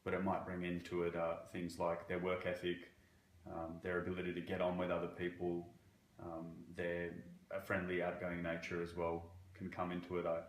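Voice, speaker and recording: Low flat guy, lots of uh and restarts, bit of room echo and faint background hum. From Croatia. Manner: halting, with frequent fillers and restarts. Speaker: banking relationship manager. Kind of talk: interview answers.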